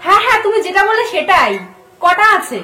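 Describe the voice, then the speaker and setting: Very high-pitched woman, halting and teasing. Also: animated, heavy background noise. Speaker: prank caller, channel host. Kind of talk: casual teasing prank phone call. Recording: echoey room, faint music.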